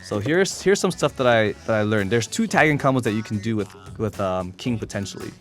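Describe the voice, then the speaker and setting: A man with a fairly deep voice talks through a fighting game demonstration. His delivery is casual and explanatory, unscripted, with some hesitation as he goes. Music plays clearly behind him. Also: animated, a little fast. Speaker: competitive gamer demonstrating a character.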